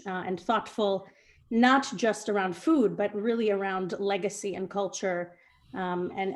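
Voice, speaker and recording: A young woman speaking on a video call, earnest and heartfelt, with plenty of fillers as she talks. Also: medium pitch. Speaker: guest, chef's daughter. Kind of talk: casual speech on a video call.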